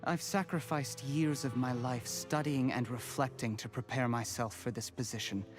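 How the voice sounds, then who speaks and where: A man acts out a young male schemer from a fantasy game. He speaks in a measured, deferential way with resentment underneath. His pitch is medium, and music plays behind him. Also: steady tone, close to an everyday voice. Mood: cold, bitter. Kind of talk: video game voice acting.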